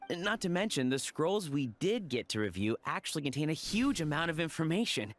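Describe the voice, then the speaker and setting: A man's voice: fairly high pitch, sing-song, a little fast, dry recording. An actor playing an earnest, polite male researcher of ancient ruins in a video game, bookish and explanatory. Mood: earnest, scholarly.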